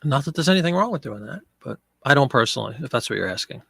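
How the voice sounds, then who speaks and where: A man's voice: medium pitch, lively intonation, very fast, creaky, fairly bright tone, husky, dry recording. A middle-aged man with a head cold, sounding congested, chatting casually on a live stream and answering viewers as he lists items for sale. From Pennsylvania. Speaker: live-stream eBay seller.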